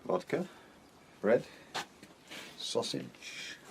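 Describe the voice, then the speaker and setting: An actor with an English accent playing a wary gentleman spy posing as a salesman in a radio drama, clipped and formal. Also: mid-range voice, huge pitch swings, drawn-out words, creaky, background noise, exaggerated voice. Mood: wary, weary.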